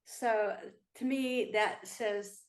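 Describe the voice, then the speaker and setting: A woman's voice: mid-range voice, steady tone, very slow. A woman hosting a TV interview, asking eager, admiring questions. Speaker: interview host.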